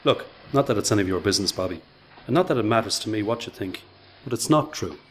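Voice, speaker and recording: An actor playing a self-assured, dry-witted factory worker with a Dublin accent in a radio play. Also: male voice, on the low side, expressive, fast talker, slight background noise, natural voice. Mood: candid, wry. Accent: Irish, Dublin. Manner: wry, teasing, confident.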